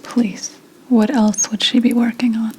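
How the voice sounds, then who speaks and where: Woman playing a slow, whispering witch. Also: on the high side, flat delivery, a little hiss or hum, close to an everyday voice, poor audio. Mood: sly, confiding. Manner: hushed, deliberate, unhurried, mostly whispering. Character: shrewd, self-reliant female 17th-century witch, kindly advising. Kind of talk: whispered ASMR roleplay.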